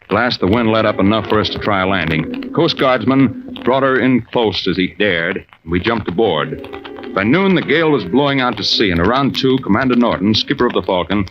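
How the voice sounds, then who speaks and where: An actor playing a steady, commanding navy diving officer and narrator in an old-time radio adventure, with clipped, reassuring authority. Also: male voice, lowish voice, brisk, natural voice. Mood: tense, matter-of-fact. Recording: noisy, dull old-time radio recording.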